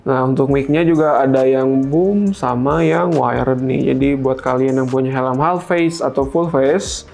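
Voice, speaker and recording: A man's voice: medium pitch, steady tone, unhurried, gravelly, a little mellow, soft background music. A man hosting a product unboxing in an informal, explanatory, step-by-step way. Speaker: product review host. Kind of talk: casual unboxing presentation to camera.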